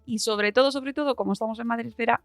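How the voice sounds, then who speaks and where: A woman's voice: on the high side, fairly even intonation, fast talker, no echo, soft background music. A middle-aged woman with a Spain accent chatting casually and informally as a podcast host. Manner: chatty, informal, laughs often. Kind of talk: casual chat.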